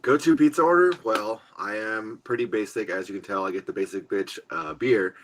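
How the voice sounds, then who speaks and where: Bright-toned low-voiced guest on a call. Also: male voice, fairly quick. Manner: easygoing, laughs, a bit sleepy. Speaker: podcast guest. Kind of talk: casual chat on a call. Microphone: remote call over the internet.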